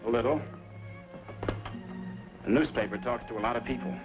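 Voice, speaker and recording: An actor playing a grim, determined western hero in a radio drama, terse and plain-spoken. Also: male voice, medium pitch, normal speed, natural voice. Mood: modest, uneasy. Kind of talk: old-time radio western acting. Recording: dull old radio recording, music behind.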